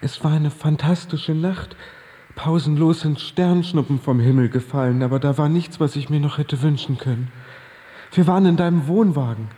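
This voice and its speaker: An actor playing a lovestruck, laconic death-row killer in a German radio drama, speaking in an understated, wry way. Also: male voice, a little high, moderate pace, mellow, long reverb tail, close to an everyday voice. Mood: wistful. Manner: understated, matter-of-fact, wry.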